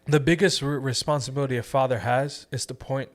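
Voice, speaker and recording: A man's voice: mid-range voice, steady tone, fairly quick. Young male podcast host, casual faith talk, earnest, encouraging, friendly, easygoing delivery. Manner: earnest, encouraging, says "bro" and "y'all".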